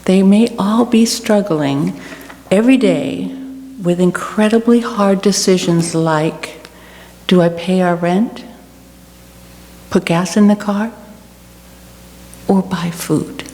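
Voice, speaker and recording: Woman doing a church reflection, real slow and earnest, big echoey room with background noise. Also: lowish voice, a little mellow. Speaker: guest speaker giving a church reflection. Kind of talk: personal sermon reflection to a congregation.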